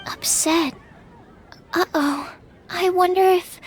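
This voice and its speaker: A woman voicing a shy, earnest, bookish young female alchemist in an anime-style game, soft and girlish, hesitant and apologetic as she explains. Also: very high-pitched, fairly even intonation, slow, some chatter behind. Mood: uneasy wondering. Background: game music.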